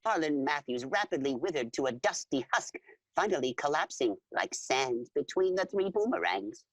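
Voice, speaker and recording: A woman playing an eager alien storyteller who narrates adventure formally and dramatically, with a husky voice and spoken sound effects. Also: low-pitched, lively intonation, normal speed, dry recording. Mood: dramatic. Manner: formal, repeats full names instead of pronouns.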